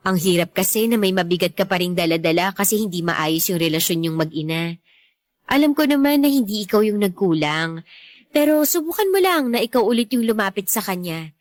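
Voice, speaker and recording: A young woman with a Filipino accent acting a caring, supportive fiancée in a radio drama, gentle and coaxing. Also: mid-range voice, animated, brisk, no echo, clean studio sound, natural voice. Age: young adult.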